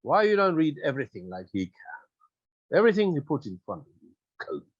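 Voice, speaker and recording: A man's voice: a little high, very animated, a little fast, slightly rough, no echo, muffled recording, voice pushed up. A man voicing a scornful, bullying African army interpreter who barks broken English in an audiobook-style reading. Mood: scornful, barking. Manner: broken, mangled English, barked insults.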